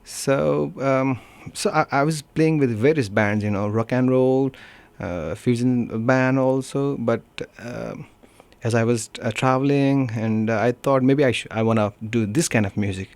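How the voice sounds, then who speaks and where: A man from Nepal with a Nepali accent, a musician talking casually in an interview, often pausing to search for words. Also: medium pitch, gravelly, darkish tone, dry recording. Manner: halting, searching for words, frequent "you know". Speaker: musician being interviewed, guitarist. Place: radio studio.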